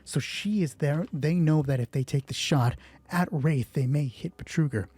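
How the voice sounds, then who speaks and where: A man's voice: on the high side, moderate pace, warm tone, breathy edge, no echo. A man narrating a solo tabletop game as game master, thinking aloud and asking himself questions that he then answers. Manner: deliberating, asks himself questions and answers them.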